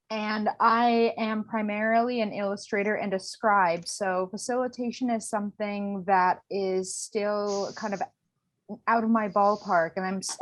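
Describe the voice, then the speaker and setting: A woman's voice: mid-range voice, dry recording, rough recording. Woman illustrator introducing herself, dry. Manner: friendly, rambling with ums. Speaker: illustrator and scribe. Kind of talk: casual self-introduction. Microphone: video call.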